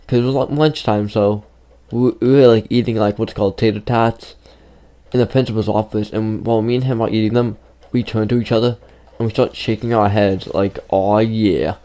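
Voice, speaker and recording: A man's voice: lowish voice, fairly even intonation, normal speed, dark tone. Rambling teen boy with a fading voice. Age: teens. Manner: rambling, full of "like" fillers. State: losing his voice. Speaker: gaming YouTuber. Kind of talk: casual storytelling over gameplay.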